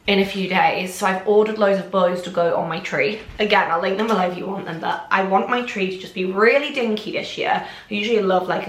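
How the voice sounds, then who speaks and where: A woman's voice: medium pitch, fairly bright tone. A young woman in her twenties from England, chatting casually to camera in a home vlog, bubbly and rambling, a bit snuffly and congested. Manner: bubbly, rambling, lots of "like" and "oh my gosh". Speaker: vlogger. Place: home kitchen, indoors. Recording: echoey room.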